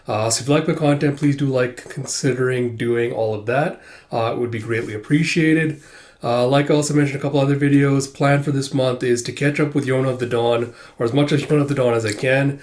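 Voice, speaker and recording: Stuffy-voiced man halting with fillers. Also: medium pitch, steady tone, a little mellow. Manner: halting, many filler sounds. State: stuffy, sore throat from a cold. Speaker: manga reviewer. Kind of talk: casual chapter review, recapping.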